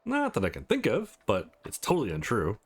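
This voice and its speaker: A man's voice: fairly low pitch, wildly swooping pitch, brisk, breathy edge, heavily acted. A man playing an easygoing, sincere male visual novel protagonist in a friendly, lightly teasing way. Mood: self-deprecating.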